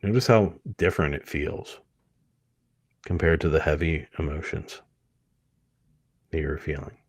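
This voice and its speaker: A man leading a guided hypnosis meditation, speaking gently and soothingly in short phrases with many pauses. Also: very deep voice, husky, dry recording.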